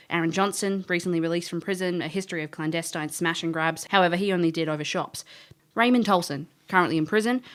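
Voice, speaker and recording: A woman's voice: on the low side, fairly bright tone. An actress playing a cocky, blunt female elf detective in an audio drama. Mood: breezy. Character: brash, wry female elf detective, ex-military. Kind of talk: audio drama dialogue and first-person narration.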